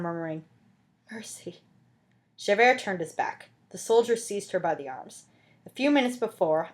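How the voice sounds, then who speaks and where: A woman reading a classic novel aloud as an amateur audiobook narrator, with dramatic scripted delivery. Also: lowish voice.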